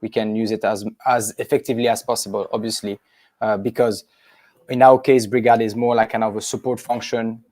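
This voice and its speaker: A man's voice: on the low side, flat delivery, a little fast, darkish tone, no room sound. A man from Switzerland, a business co-founder, sharing rambling anecdotes in a webinar discussion.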